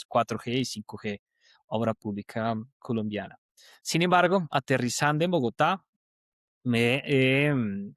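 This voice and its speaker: A man's voice: medium pitch, a bit bright, no echo, clean studio sound. A man in his thirties from Portugal whose first language is Portuguese, talking at length as a podcast guest, fluent and reflective with some restarts. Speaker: guest, transport project leader. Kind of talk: conversational interview.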